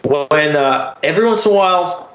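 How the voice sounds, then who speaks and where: A young American man telling personal stories casually as a podcast guest, in a halting, candid, profane way full of fillers. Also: a little high, lively intonation, fairly bright tone, roomy sound, muffled recording.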